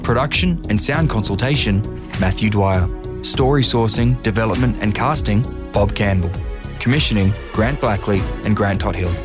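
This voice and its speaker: Low-voiced man reading credits over music. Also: normal speed. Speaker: credits announcer.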